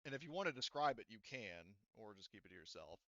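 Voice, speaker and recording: A man's voice: on the low side, very animated, fast, a bit bright, no room sound. A man running a tabletop game as game master, rambling loosely and swearing casually over a dull lo-fi mic.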